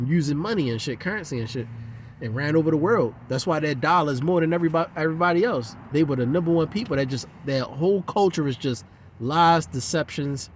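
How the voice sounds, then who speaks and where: A man's voice: mid-range voice, slight background noise. A man giving a heated, profane, rambling monologue in a casual rant.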